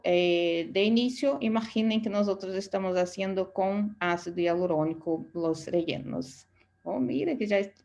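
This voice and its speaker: A woman's voice: mid-range voice, a little mellow. A woman from Brazil with a Brazilian Portuguese accent, a native Portuguese speaker giving an online lecture as an aesthetics instructor and searching for words in her second language.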